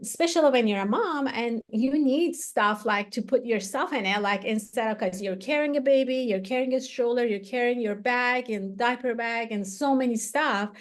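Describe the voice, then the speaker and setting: A woman's voice: a little high, normal speed, few pauses. A woman, talking chattily with many fillers and restarts as an interview guest. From Iran. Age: 40s. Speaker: guest, activewear founder. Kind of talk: casual interview talk.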